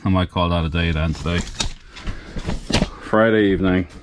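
A man casually talking the viewer through his renovation work indoors, matter-of-fact and relaxed. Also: low-pitched, moderate pace, slight background noise. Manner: relaxed, matter-of-fact, talks to camera while working. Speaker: DIY renovator. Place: indoors, farmhouse under renovation.

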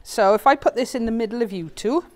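A woman's voice: on the high side, fast. A British woman giving casual instructions while setting up sound equipment in a large room. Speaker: band sound setter-up and vlogger. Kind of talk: casual instructions during PA setup. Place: large bright community hall room.

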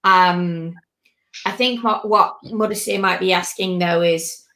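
A woman's voice: lowish voice, a little slow, sharp tone. A woman hosting a chat on a video call with a headset, talking in a chatty, conversational way. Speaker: host. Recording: echoey room audio.

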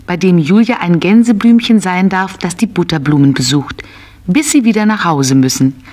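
A woman narrating a scripted children's story in short, measured phrases. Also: medium pitch, darkish tone.

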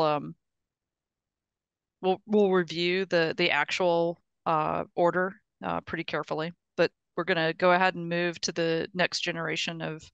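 A woman's voice: lowish voice, vocal fry, fairly bright tone, clear, resonant tone, no room sound. A woman explaining hardware plans in a technical meeting over a video call, often restarting and repeating words as she thinks aloud. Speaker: engineering project lead in a video call.

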